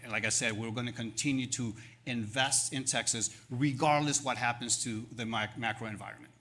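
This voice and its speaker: A man's voice: medium pitch, steady tone, a little fast, pushed and bright. A man in his fifties with an Argentine Spanish accent, a banking executive answering questions in a live panel interview, upbeat and promotional, piling up figures with fillers and restarts. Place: event stage.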